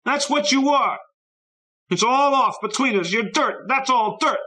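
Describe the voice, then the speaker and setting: A man's voice: expressive, normal speed, fairly bright tone. A man voicing an anguished young boy who cries out fiercely in a raised, strained voice. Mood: furious. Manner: cries out in raw high anguish.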